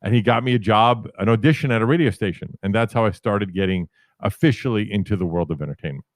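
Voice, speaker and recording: A man in his fifties from New York City with a deep voice, telling rambling, self-correcting stories about his career as a podcast guest. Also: quick pace, no echo. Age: 50s. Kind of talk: casual storytelling interview.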